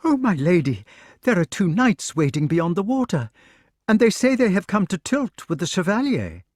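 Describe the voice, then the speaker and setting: Casting: flustered young maid, high and hurried, put on by a male narrator, crisp dry sound. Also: sing-song, dry recording. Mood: anxious.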